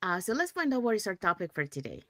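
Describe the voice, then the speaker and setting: A middle-aged woman from Panama hosting a live online talk over a video call, friendly and relaxed, with frequent fillers. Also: mid-range voice, fast talker, dry recording, studio quality. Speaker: host.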